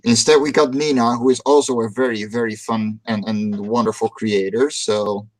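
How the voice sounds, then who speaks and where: A man's voice: medium pitch, steady tone, normal speed, low-quality recording. A Dutch man hosting a casual livestream chat, upbeat and joking, often repeating words as he starts a sentence. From Netherlands. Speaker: stream host.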